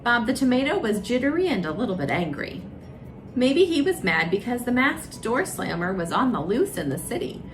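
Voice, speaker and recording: Animated woman reading a children's story. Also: a little high, moderate pace, slight background noise. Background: music.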